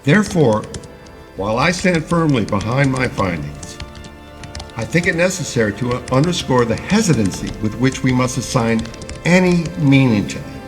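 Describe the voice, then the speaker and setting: A man playing an idealistic neuroscientist giving a formal, measured, solemn speech in an audio drama. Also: medium pitch, sing-song, soft background music, lightly acted. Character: idealistic male neuroscientist delivering a solemn public address.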